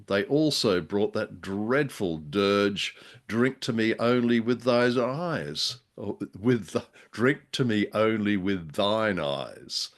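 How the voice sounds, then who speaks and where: Low-voiced man, droll and hesitant podcast chat. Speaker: podcast co-host. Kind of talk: casual chat, radio drama discussion.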